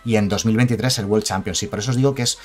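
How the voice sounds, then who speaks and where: A man's voice: lowish voice, a little mellow. Chatty Spanish-speaking man on a headset. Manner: chatty, reads card names slowly, asks for agreement with ¿vale?. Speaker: gaming channel host. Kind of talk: casual news commentary to camera. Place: small room at home.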